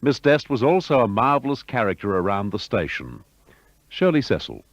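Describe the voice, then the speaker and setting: A man giving scripted documentary narration in a smooth, measured broadcaster's delivery. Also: mid-range voice, sing-song, no room sound. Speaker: narrator of a radio history. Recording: dull lo-fi broadcast audio.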